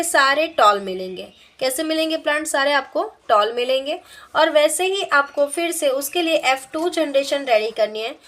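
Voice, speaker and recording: A woman's voice: high voice, fairly bright tone, a little hiss or hum. A woman giving a practical demonstration lesson as a biology teacher, explaining in an instructional way and repeating steps for students.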